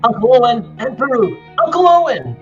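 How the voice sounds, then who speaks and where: A man's voice: very high-pitched, sing-song, moderate pace. A man playing an earnest, impulsive young farm boy hero in an amateur table read. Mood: anguished. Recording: dull recording with music behind.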